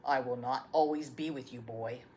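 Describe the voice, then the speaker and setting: A woman voicing a gentle, loving old grandfather in a solemn, tender storybook read-aloud. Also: extremely low pitch, steady tone, normal speed, voice pushed down.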